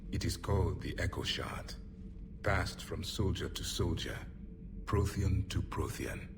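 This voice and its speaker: An actor playing a grave, mournful alien warrior, last survivor of his people, speaking formally and deliberately in a video game. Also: sits low, expressive, vocal fry, very noisy, exaggerated voice. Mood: somber, haunted. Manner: solemn, deliberate, formal phrasing. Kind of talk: cinematic video game dialogue acting.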